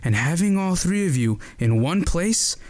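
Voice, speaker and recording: A man's voice: a little high, animated, unhurried, a little mellow. An actor playing a smug male mercenary who taunts his captives, in an audio drama. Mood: mocking. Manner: mocking, taunting.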